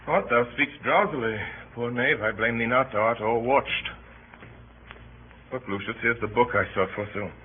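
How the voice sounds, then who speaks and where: An actor playing a grave, weary Roman nobleman who speaks gently, in measured, solemn verse in the old radio Shakespeare manner. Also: medium pitch, natural voice. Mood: tender, weary. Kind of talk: 1930s radio Shakespeare acting. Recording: muffled, noisy old radio recording.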